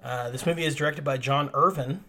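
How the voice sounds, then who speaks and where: A man's voice: a little high, brisk, sharp tone. A man in his thirties co-hosting a podcast, chatting casually and eagerly about an old horror film. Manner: enthusiastic, chatty, rambling movie trivia. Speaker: podcast co-host.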